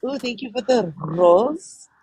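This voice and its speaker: A woman co-hosting a casual livestream, hoarse and raspy from a day on the phone, telling relaxed stories. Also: fairly high pitch, takes it slowly, dry recording. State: hoarse from talking on the phone at work. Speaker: livestream co-host.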